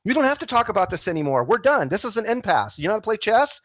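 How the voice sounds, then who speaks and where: Man, booming and emphatic, fairly high voice, dry close sound, video call debater. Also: very animated, fast, no room sound. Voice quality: loud booming voice. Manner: emphatic, repeats himself to end the argument. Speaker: atheist debater. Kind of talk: heated casual debate.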